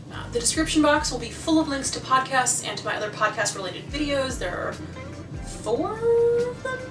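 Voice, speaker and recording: High-voiced American woman vlogger, roomy sound. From United States. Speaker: video host. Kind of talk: scripted recommendation vlog, casual chat. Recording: roomy, distant mic, music behind.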